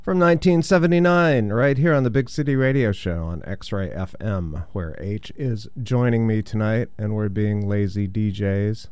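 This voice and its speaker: A man hosting a radio show and chatting casually between records, his voice deep and congested from a cold, rambling a little with fillers. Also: normal speed, few pauses, darkish tone, clean tone, no room sound. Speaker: radio DJ host.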